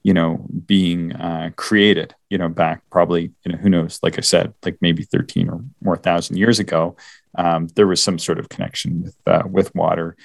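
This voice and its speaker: A man's voice: low-pitched, level tone, normal speed, vocal fry, no room sound, studio quality. A man co-hosting a casual astronomy podcast, rambling with many fillers and restarts. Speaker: podcast co-host.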